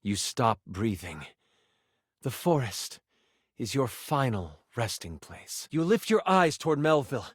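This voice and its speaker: Theatrical, lively game master. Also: male voice, medium pitch, measured pace, breathy edge, no echo, studio quality. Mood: grave, hushed. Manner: theatrical, suspenseful. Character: theatrical male game master narrating fantasy battle. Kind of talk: dramatic tabletop fantasy narration.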